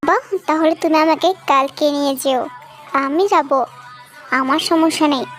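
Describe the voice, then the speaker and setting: Casting: woman as kind young cartoon heroine, girlish and earnest, extremely high voice over background music. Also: steady tone, normal speed, fairly bright tone. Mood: willing, grateful. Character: kind, dutiful young daughter, tender-hearted heroine. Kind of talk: Bengali fairy tale cartoon dubbing.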